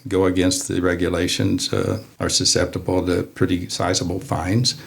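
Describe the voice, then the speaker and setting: Male club spokesman, low gravelly voice, measured and slightly formal in conversation. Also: very animated. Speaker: interview guest, amateur radio club member. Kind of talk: conversational explaining.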